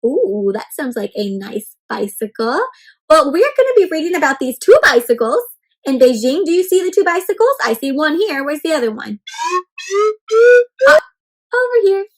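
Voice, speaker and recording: A woman reading a picture book aloud to young children, animatedly addressing them with questions and pauses as she goes. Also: high voice. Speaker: children's read-aloud storyteller.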